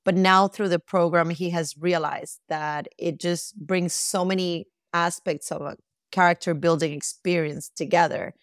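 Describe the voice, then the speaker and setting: A woman's voice: lowish voice, steady tone, a little slow, no room sound, studio quality. A middle-aged woman from Costa Rica answering as a guest in a conversational interview.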